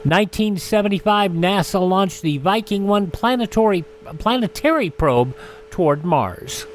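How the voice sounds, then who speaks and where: A man's voice: high-pitched, expressive, normal speed, a little hiss or hum. A man hosting a radio show, reading scripted items about dates in history. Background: music.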